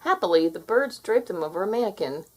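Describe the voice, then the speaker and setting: A woman's voice: mid-range voice, animated, a little fast, husky. A woman narrating a children's fairy tale as a scripted read-aloud.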